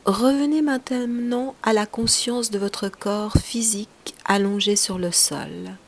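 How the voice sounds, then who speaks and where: Slow, soothing woman guiding relaxation. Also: medium pitch, fairly even intonation. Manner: soothing, unhurried, instructing in short phrases with pauses. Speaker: yoga nidra teacher. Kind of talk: guided relaxation meditation.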